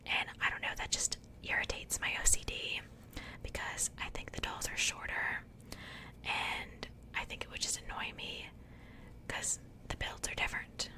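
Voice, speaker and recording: A woman's voice: normal speed, bright, forceful tone. Whispering woman, casual ASMR host. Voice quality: breathy unvoiced whisper. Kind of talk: ASMR, whispered unboxing commentary.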